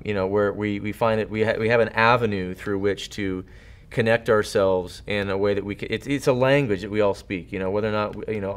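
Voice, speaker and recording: A man in his thirties explaining his thoughts earnestly and at length in a panel interview. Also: fairly low pitch. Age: 30s. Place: indoor studio set.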